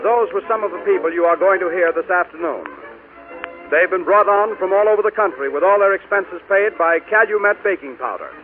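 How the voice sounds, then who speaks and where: High-voiced male announcer, tinny vintage radio. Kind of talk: 1930s radio scripted announcement. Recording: tinny 1930s radio broadcast, faint music.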